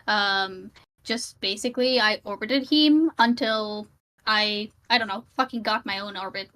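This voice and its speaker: A woman's voice: medium pitch, measured pace, pushed and bright. Hesitant woman streamer on a call. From Sri Lanka. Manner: hesitant, many fillers and restarts, laughs easily. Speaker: streamer and debate guest. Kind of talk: casual debate chat.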